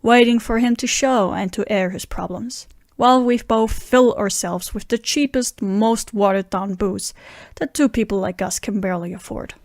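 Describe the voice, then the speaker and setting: A woman's voice: medium pitch, normal speed, darkish tone, dry recording, natural voice. Dry-recorded woman acting a wry barfly friend. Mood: wry, concerned. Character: wry, down-on-their-luck friend Bill, narrating at a bar. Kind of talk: scripted first-person audio drama narration.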